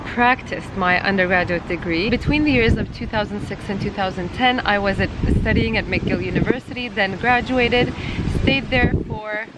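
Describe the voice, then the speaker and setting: A chatty Turkish-born woman in her thirties talks straight to the camera about her own life at an easy, even pace. Her voice sits in a middle register. Clear traffic noise from the city fills the background of this outdoor recording. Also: mid-range voice, normal speed. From Turkey. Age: 30s. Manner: chatty and conversational, frequent "uh" and "um". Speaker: channel host. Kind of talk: casual vlog storytelling to camera. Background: traffic and city ambience.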